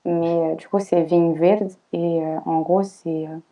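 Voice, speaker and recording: A woman's voice: on the low side, fairly even intonation, unhurried, dark tone, far from the mic. A woman of Portuguese family, a podcast co-host, chatting casually and hesitantly with many fillers.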